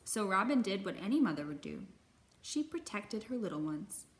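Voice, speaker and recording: A woman hosting a children's storytime, reading a picture book aloud in an expressive, animated way with sound effects. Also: mid-range voice, normal speed, reverberant. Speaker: children's librarian, storytime host.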